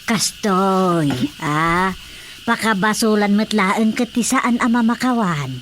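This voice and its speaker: A woman's voice: medium pitch, slow, a little hiss or hum, close to an everyday voice. A woman playing a nagging, pious, motherly villager with scolding interjections, in an Ilocano radio drama. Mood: earnest counsel. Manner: exclaiming, scolding, animated interjections.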